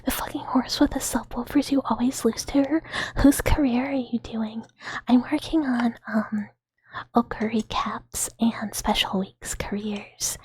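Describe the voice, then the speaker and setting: A woman's voice: on the high side, steady tone, unhurried, husky, no room sound, low-quality recording. Giggly whispering woman streamer. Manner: soft whisper, giggly, rambling and casual. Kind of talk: whispered livestream chat reading comments.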